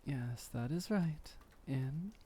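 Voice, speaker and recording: A tender, courtly male vampire , played by a man, murmurs comfort in a very unhurried, dark and velvety voice. His phrasing is formal and old-world, delivered intimately as ASMR roleplay over light background noise. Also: medium pitch, lively intonation. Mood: calm, reassuring. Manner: soft, soothing, old-fashioned formal phrasing, breathy sighs.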